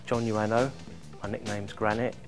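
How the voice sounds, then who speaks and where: Male poker player intro, monotone delivery, clear music behind. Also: on the low side, normal speed. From London. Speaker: poker player, card room manager. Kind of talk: brief self-introduction.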